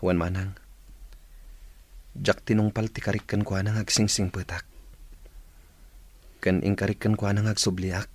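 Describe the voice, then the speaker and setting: A man's voice: fairly low pitch, flat delivery, moderate pace, long pauses. A man playing a brooding, homesick young man in a radio drama. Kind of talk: radio drama acting in Ilocano.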